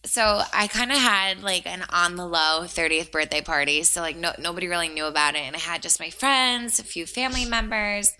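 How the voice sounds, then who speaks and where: A woman's voice: fairly low pitch, fairly quick, hardly any pauses, sharp tone, smooth, low-quality recording. A woman, chatting casually with lots of fillers as a podcast co-host. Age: 30s. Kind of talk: casual chat.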